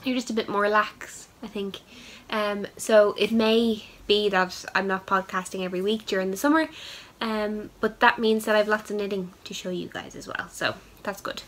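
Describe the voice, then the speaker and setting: A woman's voice: mid-range voice, fairly even intonation, moderate pace, hardly any pauses, a bit bright. A young woman hosts a knitting podcast in a casual, chatty way, full of ums and upbeat asides, and she has a head cold. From Ireland. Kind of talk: casual show-and-tell chat.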